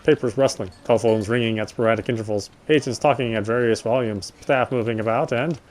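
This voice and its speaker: A man's voice: medium pitch, fairly quick, a little mellow. A man gaming and reading a visual novel's narration aloud, with casual asides. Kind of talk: let's play read-aloud with casual asides.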